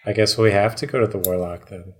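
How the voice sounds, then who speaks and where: A man's voice: lowish voice, steady tone, fast, a little mellow. A man chatting casually as a co-host, making dry, playful quips in reaction to what he hears. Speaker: podcast co-host. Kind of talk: casual joking chat.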